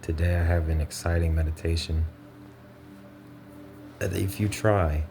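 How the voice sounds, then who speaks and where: Male meditation guide, very deep and monotone, measured instructional phrasing with pauses. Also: vocal fry, music in the background.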